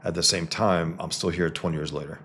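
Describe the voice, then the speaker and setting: A cartoonist from the Bronx, talking casually to followers on a livestream, with many fillers and restarts. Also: male voice, low voice, fairly even intonation, fairly quick, fairly bright tone, slight background noise. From the Bronx, New York. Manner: greets viewers mid-talk.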